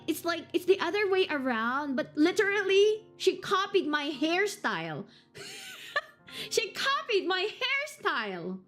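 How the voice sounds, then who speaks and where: A playful woman streamer who giggles as she comments on a game. Her voice is high and very lively in its rise and fall, and she speaks at an unhurried pace. Soft game music plays in the background.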